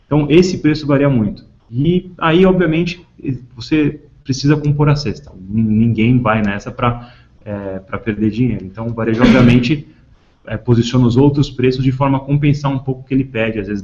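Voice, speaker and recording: A man's voice: medium pitch, darkish tone. Brazilian man on a dull conference call. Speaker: panelist answering questions. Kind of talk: explanatory business talk on a conference call.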